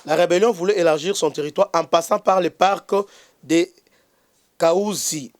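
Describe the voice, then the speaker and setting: A man with a Congolese French accent, a bishop hosting his own channel, giving an impassioned political commentary full of hesitations and stray Lingala words. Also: sits high. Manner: halting, many fillers and restarts, mixes in Lingala.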